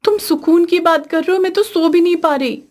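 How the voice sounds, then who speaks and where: A woman's voice: very high voice, fairly quick, darkish tone, pitched above the performer's own voice. Woman acting cheeky young daughter-in-law. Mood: frightened.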